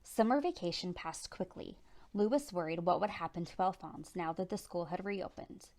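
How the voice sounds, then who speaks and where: A woman reading a children's picture book aloud as storytime narrator, with animated, dramatic emphasis. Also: lowish voice, normal speed. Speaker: storytime reader.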